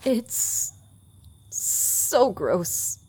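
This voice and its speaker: A woman's voice: fairly high pitch, very animated, drawn-out words, noisy background, heavily acted. An actress playing a plucky, defiant nineteen-year-old woman in a radio drama, dry and self-assured. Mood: shaken. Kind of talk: audio drama acting.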